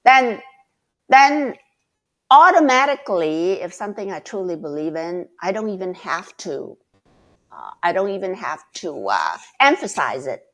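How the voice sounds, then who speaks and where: A middle-aged woman guest with a loud voice, speaking passionately and emphatically, often restarting her sentences. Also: lowish voice, sing-song, a little slow. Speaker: guest mentor. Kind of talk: conversational interview.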